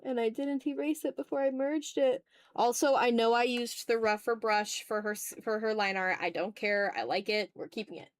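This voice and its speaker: A woman with a fairly high voice, recorded close and dry, hosts a casual drawing stream. She thinks out loud and banters with her viewers, playful and teasing, with a streak of mock exasperation. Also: steady tone, moderate pace, dry recording. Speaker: art streamer. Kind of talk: casual livestream chat while drawing.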